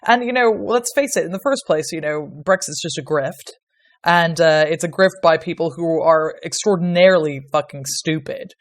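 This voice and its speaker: A woman's voice: deep voice, moderate pace. Sardonic Irish woman laughing as she talks. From Ireland. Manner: sardonic and sweary, laughing often. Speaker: podcast guest, medieval historian. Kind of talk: casual podcast chat.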